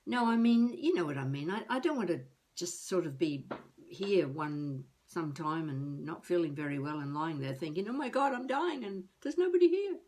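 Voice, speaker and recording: A woman playing a feisty elderly would-be novelist, rambling wryly and haltingly through a comic monologue as a video diary. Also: lowish voice, animated, darkish tone, close to an everyday voice. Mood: reflective, darkly comic. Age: older. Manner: wry, musing, halting, talking to herself.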